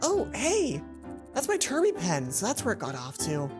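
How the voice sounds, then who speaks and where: A woman's voice: medium pitch, huge pitch swings, normal speed, over music. Woman voicing an anxious, apologetic schoolgirl. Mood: surprised. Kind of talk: visual novel character reading.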